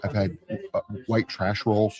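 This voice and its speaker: A man's voice: lowish voice, flat delivery, unhurried, a little mellow, rough recording. A man chatting casually and leading the questions, jokey and enthusiastic, who often repeats his words as he speaks. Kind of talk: casual chat.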